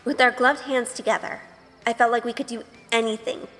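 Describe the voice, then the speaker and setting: A woman plays a sheltered young witch in an audio drama, sweet-natured and full of wonder. Her voice sits fairly high. It rings in a long reverb with soft music underneath. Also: fairly even intonation, a little fast, lightly acted. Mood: wonder-struck. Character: Grace, wide-eyed sheltered good young woman turned witch. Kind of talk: first-person audio drama narration with in-scene dialogue.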